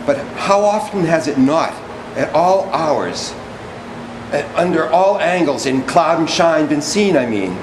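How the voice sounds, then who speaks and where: A man playing a servile, wordy orator in a stage drama, reciting poetic text in a halting, deliberate, declamatory way. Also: on the high side, lively intonation, background noise. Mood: pleading, questioning. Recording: echoey room with music behind.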